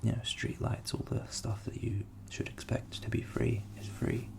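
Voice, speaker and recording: A young man who grew up in England with Ukrainian as his first language, whispering softly as an ASMR host answering viewer questions and thinking aloud. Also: lowish voice, flat delivery, normal speed, vocal fry. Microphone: studio USB microphone.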